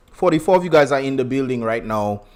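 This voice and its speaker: A man's voice: medium pitch, a little mellow. Chatty man with a Dominican accent. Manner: chatty, greets viewers by name, laughs often. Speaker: livestream host. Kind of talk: casual livestream chat.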